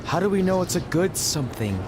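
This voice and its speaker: An actor playing a sarcastic, reluctant wrestler hero in a video game, talking casually with uneasy wisecracks. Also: male voice, high voice, very animated, brisk, noisy background.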